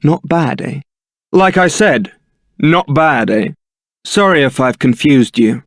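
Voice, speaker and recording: Young british knight for a video game, smooth rogue charmer, real dry studio sound. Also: male voice, on the high side, lively intonation, slow pace, no echo. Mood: wry, apologetic. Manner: suave, teasing, polite. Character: suave young male templar knight, roguish and charming. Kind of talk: scripted video game character acting.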